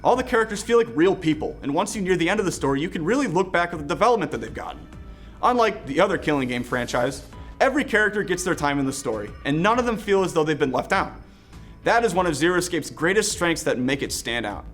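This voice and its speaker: A man with a slightly high voice delivers a scripted game review with clear enthusiasm. Soft music plays behind him. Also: very animated, fast talker. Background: background music.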